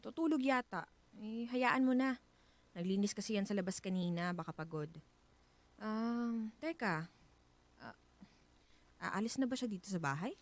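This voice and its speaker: A woman with a Filipino accent playing a soft-hearted, attached wife in a radio drama, speaking in a hesitant, emotive way. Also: mid-range voice, animated, normal speed, long pauses, natural voice. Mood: worried, curious.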